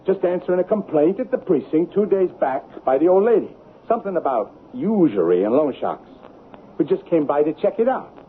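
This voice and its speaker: An actor playing a brusque, mocking police detective in a 1970s radio drama. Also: male voice, a little high, sing-song, brisk. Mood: wry, taunting. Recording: phone-like old radio with faint noise.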